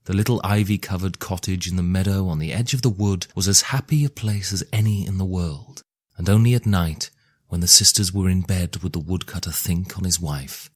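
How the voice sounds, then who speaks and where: A man narrating a fairy tale in a measured, theatrical storytelling manner. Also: sits low, husky. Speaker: storyteller and author reading his own tale. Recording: music under the voice. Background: music.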